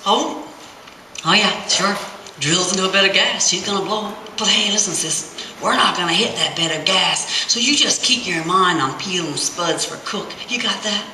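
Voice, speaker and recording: A woman acting out a rough, teasing oil-rig man from a novel reading. The voice is forceful and sharp, with salty, folksy emphasis. It sounds roomy and set back from the mic. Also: medium pitch, normal speed. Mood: boisterous explaining. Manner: salty, folksy, emphatic storytelling with dialect grammar. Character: Ben, brash teasing older brother, rough oil-rig hand. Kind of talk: dramatic literary reading. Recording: roomy, distant festival recording with faint noise.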